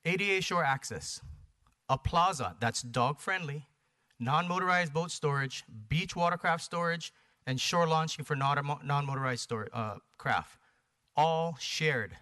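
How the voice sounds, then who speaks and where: A man's voice: fairly high pitch, measured pace, sharp tone. A man from Hawaii with an American accent giving a persuasive public presentation, emphatic, in short punchy phrases and rhetorical questions. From Hawaii, United States. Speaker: presenter for a paddling community. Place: planning commission meeting.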